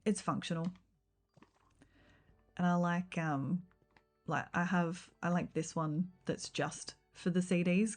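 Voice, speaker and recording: A woman talks through her game build on a livestream, in a fairly low voice. It has a slight rough, croaky edge tonight. She chats in an easygoing, meandering way, full of ums and likes. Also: a little mellow. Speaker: game streamer. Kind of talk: casual livestream chat while building in a game.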